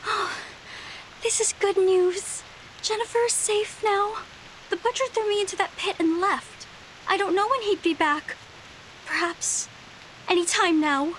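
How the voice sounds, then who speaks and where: A woman's voice: extremely high pitch, fairly even intonation, a little slow, long pauses, a bit bright, slight background noise, poor audio. A woman voicing a frightened but plucky young girl in a video game, earnest and dramatic. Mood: relieved, worried.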